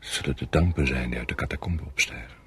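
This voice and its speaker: An actor playing a sombre, doubtful subordinate French army officer in a radio play, deferential yet brooding. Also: male voice, a bass voice, very fast, husky, exaggerated voice. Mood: brooding, ominous. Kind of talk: Dutch radio play acting.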